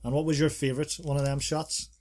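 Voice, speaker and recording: A man's voice: on the high side, little pitch movement, fast, darkish tone. A man co-hosting a casual podcast, chatting about bars and reading reviews aloud with playful asides. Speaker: podcast co-host.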